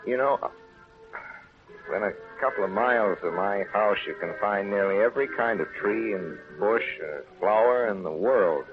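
A man's voice: on the low side, moderate pace, close to an everyday voice. An actor playing a wholesome, earnest, shy small-town man in a 1930s radio drama, on a tinny old recording. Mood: wistful, shy. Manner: plain-spoken, earnest. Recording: tinny phone-like 1930s radio, music behind.

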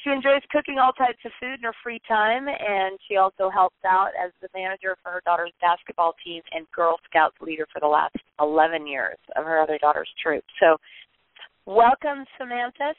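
A woman's voice: mid-range voice, brisk, dry recording. A woman hosting a podcast interview, upbeat and enthusiastic as she introduces her guest. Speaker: podcast host. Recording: tinny phone-like line, dry.

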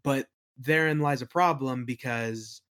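A man's voice: mid-range voice, unhurried, fairly bright tone, clear, resonant tone. A man chatting casually as an interview guest, telling colloquial, rambling stories as a game master. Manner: rambling, colloquial, acts out quoted remarks. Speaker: guest, game master and audio play creator. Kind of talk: casual chat, anecdotes.

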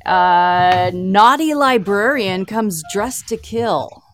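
A woman's voice: mid-range voice, animated, slow pace, soft background music. A woman from Southern California chatting casually as a guest on a talk show. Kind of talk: casual chat.

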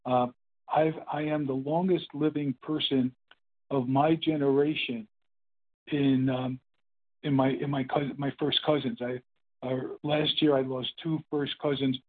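A man's voice: medium pitch, level tone. Older man in his eighties, rambling phone-in testimony, tinny line audio. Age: 80s. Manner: rambling, halting, with many fillers and restarts. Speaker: meeting speaker sharing his story. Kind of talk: casual spoken testimony.

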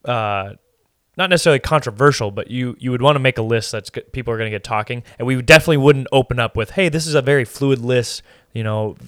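A man's voice: medium pitch, fast talker, fairly bright tone, no room sound, clean studio sound. A man co-hosting a podcast, chatting casually in an easygoing, rambling, hedging way. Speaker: podcast co-host. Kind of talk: casual conversational chat.

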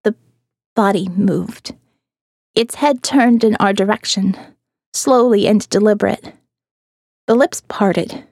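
A woman narrating an audio novel in the first person, dramatic, expressive and wry. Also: mid-range voice, slow, vocal fry, long pauses, no echo, clean studio sound.

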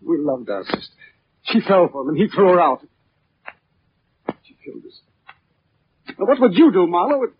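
An actor playing an anguished brother turned reluctant avenger, emotional and pleading, in 1940s radio melodrama style. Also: male voice, sits high, very animated, moderate pace, long pauses, slight background noise. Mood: bitter. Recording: muffled, dull 1940s radio recording.

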